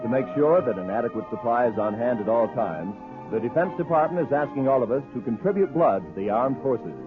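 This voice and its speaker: A man's voice: medium pitch, expressive, music in the background. A man with an American accent announcing formally from a script in the style of 1950s radio. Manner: formal, measured. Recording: tinny husky vintage radio recording.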